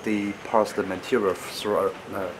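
A man with a fairly low voice explains things informally as he guides a visitor. He speaks haltingly in non-fluent English and repeats words. Clear factory room noise sits behind him. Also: little pitch movement. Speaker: factory representative guiding visitor. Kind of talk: informal explanatory tour talk. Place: indoors in factory warehouse and assembly hall. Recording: noisy factory room with air-conditioning hum.

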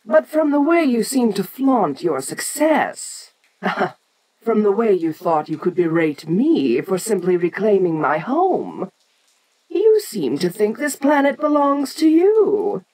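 An ancient kaiju giantess played by a woman speaks straight to the listener in an audio roleplay. She is imperious and condescending, taking her time over each phrase while her pitch swoops with lively, theatrical expression. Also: slow pace, low-quality recording. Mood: haughty. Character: ancient giant kaiju queen, haughty, domineering female monster.

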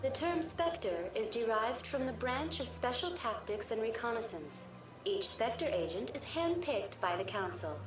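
A woman's voice: on the high side, animated, music in the background. A woman voicing a polite, impassive virtual guide program in a video game, speaking formally and precisely. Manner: formal, precise, measured delivery. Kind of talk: scripted informational game dialogue. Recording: roomy, distant game audio with loud noise.

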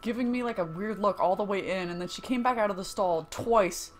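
A young woman with a low-pitched voice chatting casually while streaming a game, rambling with many restarts. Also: a little fast, soft background music. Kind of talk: casual gaming chat.

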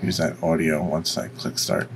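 A man with a deep voice giving an informal, explanatory software tutorial. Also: moderate pace, creaky, slight background noise. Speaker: tutorial presenter.

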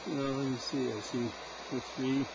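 A gravelly-voiced man murmurs a relaxed running commentary as he works outdoors beside a nest box. He thinks aloud, pausing often with small fillers. The sound comes through a dull, lo-fi nest cam microphone with steady noise underneath. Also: medium pitch. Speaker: wildlife cam caretaker. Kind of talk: casual running commentary while working.

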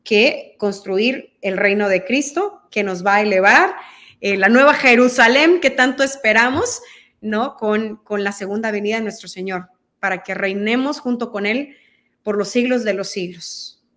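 A woman's voice: medium pitch, unhurried, fairly bright tone. A woman from El Salvador whose Spanish carries a Mexican-sounding accent speaks earnestly and with fervor over a laptop video call. Her talk is full of fillers and restarts, and she is recorded in a dim room at home. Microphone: video call laptop mic.

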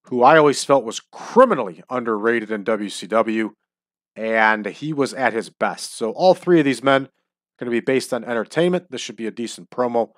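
A man's voice: medium pitch, expressive, normal speed, no room sound, clean studio sound. A man commenting casually on a wrestling management game at home, chatty and opinionated, his nose stuffed up from allergies. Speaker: let's-play host.

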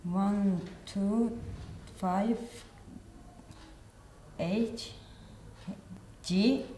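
A middle-aged woman reads and answers in basic English, halting between single words and correcting herself. Her speech is extremely slow. Her voice is mellow, and the small studio gives it a roomy echo with a faint hiss. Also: mid-range voice, fairly even intonation. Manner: halting, word by word, self-correcting. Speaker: adult English learner. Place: small studio set, indoors.

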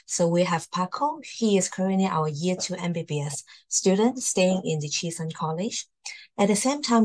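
A woman hosting and presenting in a formal slide talk over a video call. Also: lowish voice. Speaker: host, university admissions presenter.

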